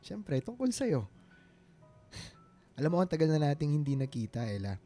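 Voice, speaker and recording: An actor with a Filipino accent playing an earnest, smitten ex-boyfriend in his late twenties, speaking haltingly in a radio drama. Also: on the high side, big pitch swings, dark tone. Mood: tender, curious. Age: 20s. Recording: music bed behind the voice.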